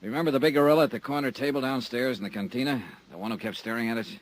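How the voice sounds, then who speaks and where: An actor playing a wisecracking insurance investigator in a 1950s radio drama, talking dry and laconic. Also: male voice, medium pitch, very fast, natural voice, poor audio. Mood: wry. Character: wry tough male private insurance investigator. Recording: old radio recording with music.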